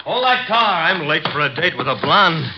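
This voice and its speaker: A man's voice: a little high, expressive, normal speed, noisy background, lightly acted. An actor playing a breezy, sarcastic young male assistant detective in a 1950s radio drama. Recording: dull old radio recording.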